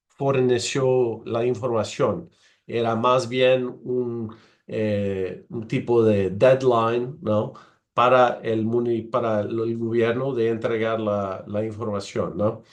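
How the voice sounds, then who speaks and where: A man whose first language is Portuguese, presenting in a virtual panel over a video call, halting with many fillers and restarts. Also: medium pitch. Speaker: researcher and panelist.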